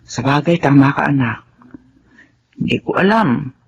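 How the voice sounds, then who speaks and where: Resigned, motherly middle-aged housemaid, lively inflection, dull lo-fi radio sound. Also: low-pitched, measured pace, gravelly, breathy edge, heavily acted. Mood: worried. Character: weary loyal middle-aged widowed housemaid. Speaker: radio drama actor.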